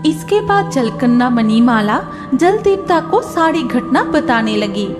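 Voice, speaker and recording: Woman narrating a kids fairy tale, very high, slow, faint music behind. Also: sing-song.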